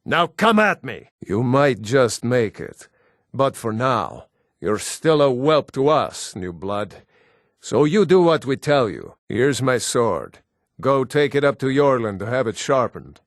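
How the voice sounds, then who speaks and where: A man's voice: mid-range voice, sing-song, takes it slowly, no room sound, clean studio sound. A man voicing a proud, stern Nord warrior for a fantasy video game, with a solemn, commanding and terse delivery. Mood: taunting, combative, then brusque, testing. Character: gruff proud Nord warrior, stern battle-hardened brother-in-arms.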